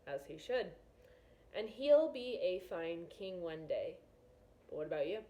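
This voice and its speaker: A woman's voice: lowish voice, animated, a little slow, dark tone, slight background noise, poor audio. Reserved, wistful king and father voiced by a woman. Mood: earnest.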